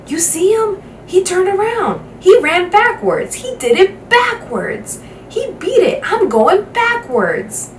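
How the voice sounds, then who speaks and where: A woman voicing excited, rowdy street kids in a storybook, calling out loudly. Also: extremely high pitch, takes it slowly, voice pushed up, exaggerated voice. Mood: amazed, wild. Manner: exclaiming, calling out. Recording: roomy, distant microphone with faint noise.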